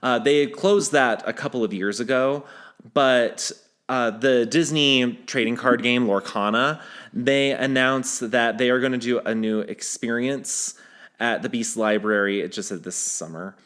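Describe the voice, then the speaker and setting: Man, podcast host, mid-pitched voice, casual news chat, teasing, restarts sentences. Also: unhurried, fairly bright tone. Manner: conversational, teasing, trails off and restarts.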